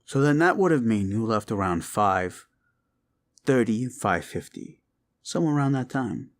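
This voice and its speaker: A man's voice: mid-range voice, animated, close to an everyday voice. A man plays a rumpled police detective in an interrogation roleplay. His mid-range voice rises and falls freely as he rambles and muses. He is polite and folksy on the surface, shrewdly needling underneath with leading questions. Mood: politely needling. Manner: rambling, musing, halting, feigned casualness with leading questions. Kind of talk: roleplay audio drama, interrogation monologue addressed to listener.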